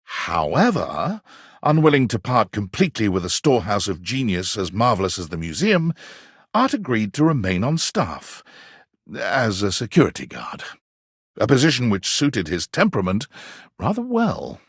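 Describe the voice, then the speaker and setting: Husky, flamboyant, theatrical storyteller. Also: male voice, on the low side, huge pitch swings, no room sound, clean studio sound, full character voice. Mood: wry, arch. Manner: dramatic, pompous, savoring words with deliberate pauses. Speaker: voice actor, narrator of game story. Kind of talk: theatrical scripted narration.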